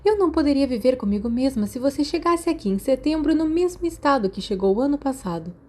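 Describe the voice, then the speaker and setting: Woman putting on a scolding, high-pitched Brazilian school nurse. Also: expressive, fast, warm tone. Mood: firm, caring. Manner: scolding, exclaiming, protective. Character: stern, caring older school nurse, a woman.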